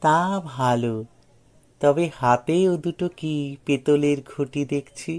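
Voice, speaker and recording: A cheeky, precocious young man from an audio story, played by a male storyteller. He teases politely with false naivety, in a raised pitch. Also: takes it slowly, a little mellow. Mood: teasing surprise. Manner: teasing, feigned innocence.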